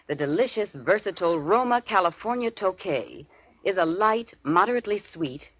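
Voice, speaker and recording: A woman's voice: on the low side, fairly bright tone, poor audio. Female vintage radio spokesperson, very varied intonation, delivering formal, scripted advertising copy. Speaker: commercial spokesperson. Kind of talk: 1940s radio testimonial, scripted.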